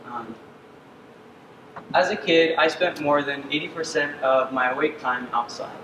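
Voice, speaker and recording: A young man from Uganda giving a senior capstone presentation on a dark stage, earnest and often pausing with ums. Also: on the high side. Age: young adult. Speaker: student presenter. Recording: distant echoey hall with ambient noise.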